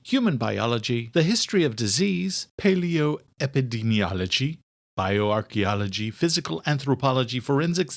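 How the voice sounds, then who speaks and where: A man voicing a courteous but firm female museum director in audiobook dialogue, speaking in a formal, measured, official way. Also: medium pitch, creaky, no echo, pitched above the performer's own voice.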